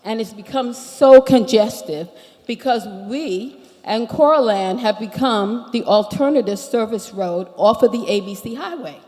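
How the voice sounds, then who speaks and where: A woman from Barbados with an American-sounding accent, formally presenting a residents' complaint at a public meeting in measured, itemised points. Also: on the high side, darkish tone. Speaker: resident speaking from the floor at a public consultation. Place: large indoor meeting hall. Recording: reverberant hall public-address sound.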